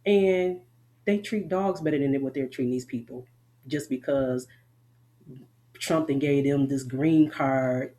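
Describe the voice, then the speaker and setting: A woman's voice: a bass voice, measured pace, mellow. Middle-aged woman, Southern US accent, candid veteran interviewee who rambles. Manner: rambling, frank, laughs often. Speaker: army veteran guest.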